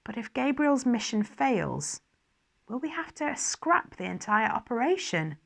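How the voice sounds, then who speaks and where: A woman's voice: a little high, sing-song, unhurried, creaky, a bit bright, voice pushed up, exaggerated voice. Woman reading as a fussy, exasperated archangel administrator. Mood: anxious, careful. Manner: wry, formal, exasperated, fussy. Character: fretful, dutiful archangel administrator keeping a diary, faintly superior. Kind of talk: first-person dramatised diary reading.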